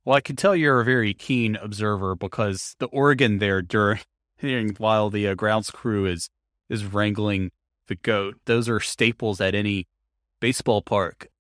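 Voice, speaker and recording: Guy hosting an interview podcast, kinda deep voice, explains things thoughtfully, really dry close recording. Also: fairly even intonation, normal speed, fairly bright tone, dry recording. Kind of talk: conversational interview with narrated segments.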